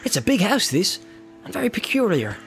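A man's voice: high-pitched, wildly swooping pitch, fairly quick, gravelly, a bit bright, soft background music, voice pushed up, full character voice. A man reading aloud, voicing a young male hobbit servant who talks eagerly and deferentially.

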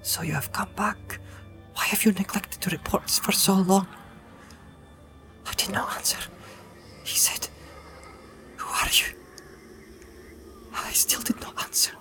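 A man voicing a small, frightened young hobbit in a fantasy storybook, halting and stammering as if badly shaken. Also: high voice, steady tone, sharp tone, whispered, faint music behind, pitched above the performer's own voice, full character voice. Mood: anguished. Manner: stammering, halting, breathy and trembling.

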